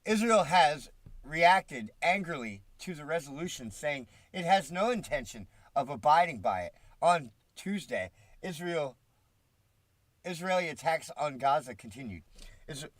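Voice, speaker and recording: A congested man talks on a live stream in a slightly high voice, reading news reports aloud and cutting in with sarcastic, profane asides. The sound is close and dry, with no room around it. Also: animated, a little slow, no echo. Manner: swears freely, mocking asides. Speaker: political commentary streamer.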